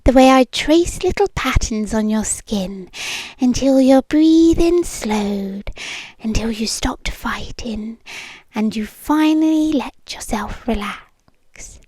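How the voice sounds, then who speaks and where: Woman acting a slow, whispery British yandere ex-girlfriend, high-voiced. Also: dry recording, full character voice. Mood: soothing, coaxing. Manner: soft, coaxing, slow and deliberate, slipping into whispers. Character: possessive, obsessive yandere ex-girlfriend, tender yet menacing. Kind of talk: intimate ASMR roleplay, often dropping into whispers. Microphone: close microphone.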